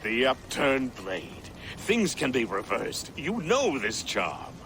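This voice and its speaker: An eccentric old male herbalist in a video game. He speaks slowly and theatrically, with archaic, mystical turns and a wide melodic range. Clear background ambience sits behind the voice. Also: mid-range voice, huge pitch swings, background noise, full character voice. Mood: delighted, friendly. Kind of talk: video game cutscene acting.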